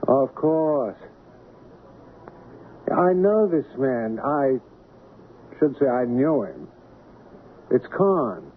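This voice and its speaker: An actor playing a guarded, genteel shipping boss in an old radio mystery, formal, deliberate and halting. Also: male voice, mid-range voice. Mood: polite, guarded. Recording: narrow, noisy old radio broadcast.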